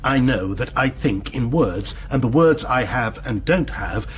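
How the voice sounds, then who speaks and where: An actor playing an earnest, questioning intellectual rebel in a radio drama, speaking thoughtfully and articulately. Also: male voice, mid-range voice, lively intonation, unhurried, slight background noise, lightly acted. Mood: earnest, reflective.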